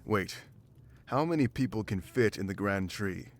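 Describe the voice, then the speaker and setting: An actor playing a stern, principled warrior guard in a fantasy visual novel, grave and challenging in manner. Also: male voice, on the low side, expressive, moderate pace, a little hiss or hum. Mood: wary, pondering.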